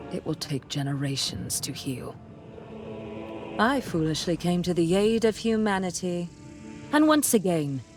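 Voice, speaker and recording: An actress playing a bitter ancient guardian spirit of nature who despises humanity, with solemn, accusatory, theatrical delivery in a fantasy game. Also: female voice, low voice. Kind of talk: dramatic scripted game villain dialogue.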